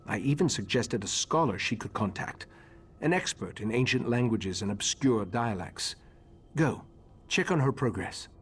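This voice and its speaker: An actor playing a solemn, thoughtful mage leader in a fantasy video game, speaking in a measured, formal, ruminative way. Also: male voice, mid-range voice, lively intonation, breathy edge. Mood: earnest, reflective.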